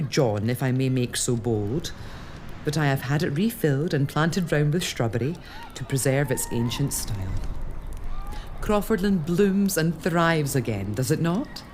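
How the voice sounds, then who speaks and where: A woman's voice: fairly low pitch, a little mellow, a little hiss or hum. An actress playing a resolute, tender lady of a castle in a period audio drama, speaking formally and earnestly. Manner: formal, earnest, addressing an unseen spirit.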